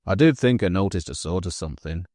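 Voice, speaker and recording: A man voicing a wary, stubborn country manservant who speaks in rustic dialect with low, firm tones. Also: lively intonation, fast, a little mellow, no room sound, studio quality.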